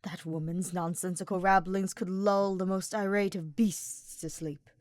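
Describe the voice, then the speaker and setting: A sardonic, formal dark mage , a man as a woman reader acts him out in a fantasy storybook. He is wry and grumbling, with stiff, wordy delivery and a dry, close sound. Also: lowish voice, fairly even intonation, unhurried, no echo, lightly acted.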